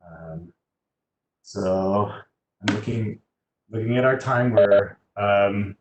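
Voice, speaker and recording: In an echoey room with faint noise behind him, a man gives informal career advice in a low voice. He speaks haltingly, as a guest on a video call, and often lets phrases trail off. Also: highs cut off. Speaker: guest, landscape designer and choral musician.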